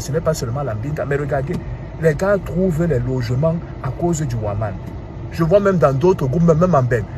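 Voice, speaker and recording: Cameroonian man talking by a road. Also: fairly high pitch. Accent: Cameroonian French. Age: 30s. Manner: animated, asks rhetorical questions, repeats "hein". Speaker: commentator. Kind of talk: casual monologue. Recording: clear street and traffic noise.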